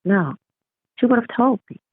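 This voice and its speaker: A woman's voice: fairly high pitch, expressive, normal speed, slightly rough, no echo, pitched above the performer's own voice. A woman voicing an anxious, disbelieving young mother while reading aloud from a novel. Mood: shocked, disbelieving. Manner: demanding, pleading. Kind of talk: audiobook-style reading of a novel. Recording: tinny phone-like line.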